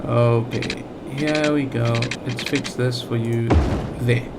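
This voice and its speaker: A man's voice: medium pitch, steady tone, takes it slowly, over loud music. A man with a South African accent giving casual let's play commentary, thinking aloud with lots of fillers and restarts. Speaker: gaming channel host.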